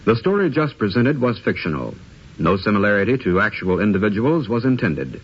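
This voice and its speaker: A man's voice: on the low side, big pitch swings, fairly quick, husky. A man making formal, scripted announcements in the style of 1950s radio. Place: radio studio. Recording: dull 1950s radio recording.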